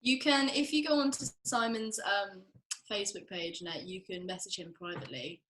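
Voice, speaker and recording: A woman's voice: mid-range voice, moderate pace, a bit bright, a little hiss or hum. A woman chatting casually and teasingly in a meeting.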